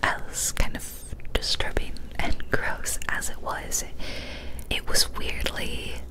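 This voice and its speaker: A young woman whispering softly and breathily, gently and soothingly, close to the microphone in an ASMR style. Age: young adult. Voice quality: soft, breathy unvoiced whisper. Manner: slow, soothing, gentle delivery. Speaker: ASMR host. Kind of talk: ASMR whispered story reading and chat. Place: small decorated room indoors. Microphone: close studio microphone on an arm.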